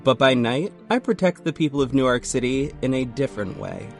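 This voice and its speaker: A man's voice: medium pitch, animated, fairly quick, soft background music, lightly acted. A man playing a resolute vigilante hero and private investigator, narrating a scripted audio drama. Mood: solemn, determined. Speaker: podcast host and audio drama actor.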